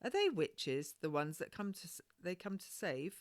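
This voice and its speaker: A woman's voice: fairly low pitch, sing-song, normal speed, no echo, studio quality. A woman voicing a young servant girl in a storybook, curious and anxious, eagerly asking questions.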